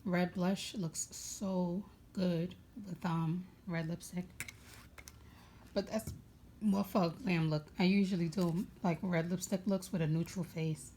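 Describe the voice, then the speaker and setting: A young woman with a raspy voice chatting casually at home, rambling with many fillers as she goes through her makeup bag. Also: on the low side, fairly even intonation, takes it slowly, dark tone, slight background noise. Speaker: beauty vlogger.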